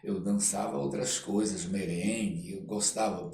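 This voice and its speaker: Brazilian guy telling stories and doing the dialogue, kinda far from the mic, faint noise behind. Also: low voice. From Brazilian Amazon. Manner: animated, acts out dialogue, halting with "né" tags. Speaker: guest artist, actor telling life story. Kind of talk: casual anecdotal storytelling in a podcast interview. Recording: roomy echo, distant mic, faint noise.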